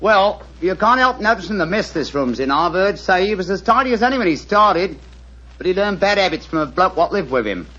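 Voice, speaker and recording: A man's voice: sits high, very animated, a little fast, sharp tone, heavily acted. A cheeky workman. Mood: gossipy. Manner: deferential yet gossipy. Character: chatty London workman, cheeky and nosy. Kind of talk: 1940s radio acting. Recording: muffled old radio broadcast.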